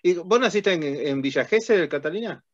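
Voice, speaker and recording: A man with an Argentine accent who interviews his guest on a video call, asking short questions and giving quick acknowledgements. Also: fairly high pitch, expressive, dry recording. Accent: Argentine Spanish. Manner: brief prompts and short backchannels. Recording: dry, dull video call audio.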